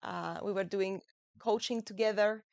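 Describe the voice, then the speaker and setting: Soft-spoken French-accented woman. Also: medium pitch, steady tone, vocal fry, no echo. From France. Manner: gentle, halting non-native English phrasing. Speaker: guest. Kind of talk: casual interview.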